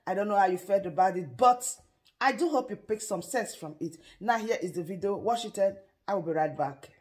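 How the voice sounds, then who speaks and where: A woman's voice: mid-range voice, a little fast, darkish tone. A middle-aged woman with a Nigerian accent, addressing viewers as the host of an online channel. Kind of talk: greeting viewers, reaction commentary. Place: small indoor room.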